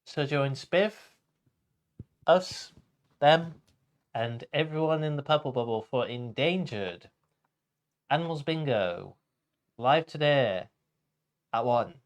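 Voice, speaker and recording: A man co-hosting a live show in a casual, halting way, repeating and restarting his words. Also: medium pitch, lively intonation. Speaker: show co-host.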